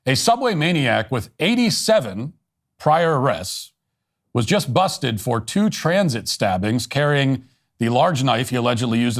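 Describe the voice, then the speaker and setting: A man hosting a political commentary show, delivering a scripted monologue in a sardonic, emphatic way. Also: mid-range voice. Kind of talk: read ad endorsement.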